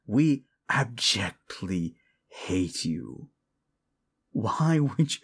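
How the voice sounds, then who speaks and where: A man's voice: medium pitch, gravelly, husky, heavily acted. A man voicing a condescending, seductive demon deal-maker in an audio roleplay, speaking in a theatrical, mocking drawl. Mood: scornful, exasperated. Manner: slow, condescending, theatrical drawl with amused chuckles. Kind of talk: scripted audio roleplay addressed to the listener.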